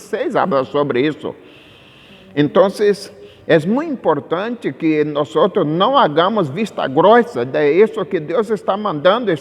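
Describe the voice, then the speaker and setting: Male preacher, fairly high voice, admonishing sermon delivery blending Portuguese and Spanish, strongly echoing hall sound. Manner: mixes Portuguese and Spanish as he speaks; admonishing, insistent, with rhetorical questions. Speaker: pastor preaching. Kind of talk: sermon with slow scripture reading and exposition. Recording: long hall-like reverb.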